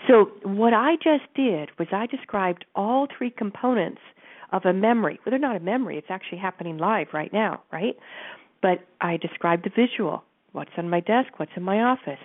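A woman with a stuffy nose, calmly guiding listeners through an exercise from her office and speaking directly to them. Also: medium pitch, moderate pace. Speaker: host and coach. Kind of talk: guided teaching talk. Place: home office. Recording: narrow phone-like line.

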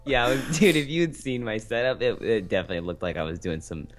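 A young man co-hosting a podcast in casual, joking chat, keeping his voice down at night. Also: quick pace. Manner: joking, keeps voice low for sleepers at home. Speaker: podcast co-host.

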